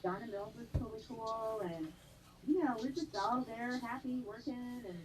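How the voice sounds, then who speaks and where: A woman actress being interviewed on a live stream, chatty and animated, laughing often. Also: on the high side, normal speed. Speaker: guest actress. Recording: distant mic with faint noise.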